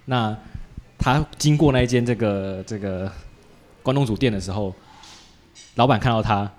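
Man, slightly low voice, Taiwanese Mandarin accent, podcast host, casual storytelling, colloquial particles. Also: moderate pace, darkish tone, faint music behind.

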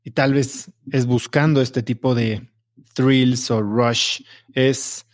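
A man's voice: mid-range voice, fairly even intonation, takes it slowly. A man hosting an interview, asking curious, inviting questions in a casual way.

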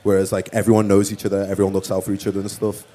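A man with a Liverpool accent chats loosely as an interview guest. His voice sits a bit low and sounds warm and mellow. He rambles easily, leaning on "like" and "you know what I mean". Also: monotone, racing. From Liverpool, England. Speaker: musician guest. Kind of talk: casual interview chat.

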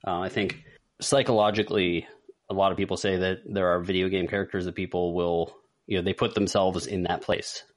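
Guy with a low voice just chatting like a podcast host. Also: level tone, fairly quick. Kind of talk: casual chat about movies and games.

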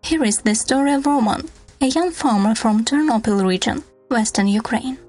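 Woman narrating news over soft music. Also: fairly high pitch. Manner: measured, formal.

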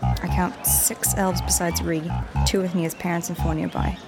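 Female actor as watchful folksy woman, deadpan, slightly low voice, varied melody, fantasy audio drama, music behind. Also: moderate pace. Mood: watchful, casual.

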